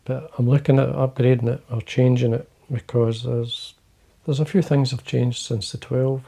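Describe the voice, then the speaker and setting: An older Scottish man with a low, quiet voice, gravelly and mellow in tone. He chats casually to the camera from his desk, wandering through his points with plenty of fillers. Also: fairly even intonation, normal speed. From Scotland. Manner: quiet, rambling, many fillers and restarts. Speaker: fly-fishing video host. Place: indoors, fly-tying desk.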